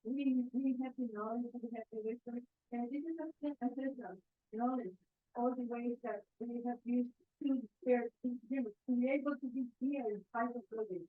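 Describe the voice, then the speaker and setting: An earnest woman in the audience makes a long, rambling point. Her voice is somewhat high. The sound is thin and narrow, like a phone line. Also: moderate pace. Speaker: audience member asking a question. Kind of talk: impassioned spontaneous comment.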